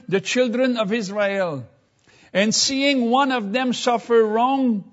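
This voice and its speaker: A man with a high voice preaching a slow, deliberate sermon. He teaches from scripture, reading passages aloud and unpacking them line by line. The recording sounds dull and lo-fi.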